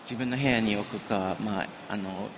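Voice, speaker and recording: Rapid-fire male interpreter at a noisy event. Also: fairly low pitch, fairly even intonation. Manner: quick, brisk relaying of questions. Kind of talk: live interpreting on stage. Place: event stage.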